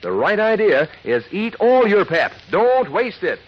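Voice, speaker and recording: A man's voice: sits high, big pitch swings, a little slow. A man reading a 1940s radio advertisement with upbeat, folksy sales patter. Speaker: commercial announcer. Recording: muffled old radio recording.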